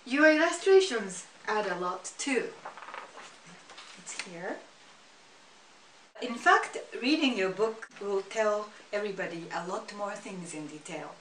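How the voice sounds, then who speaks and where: A middle-aged woman interviews in a polite, measured way. Her voice sits at an ordinary pitch and carries a roomy, distant sound with faint noise behind it. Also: expressive, fairly bright tone. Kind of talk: formal interview questions. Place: living room at home. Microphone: far camera.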